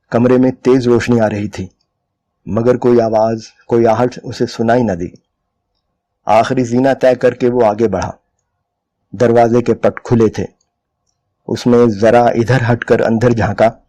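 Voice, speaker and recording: A man's voice: mid-range voice, warm tone. A man reading a literary short story aloud as dramatic scripted narration. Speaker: dramatic reader of short stories.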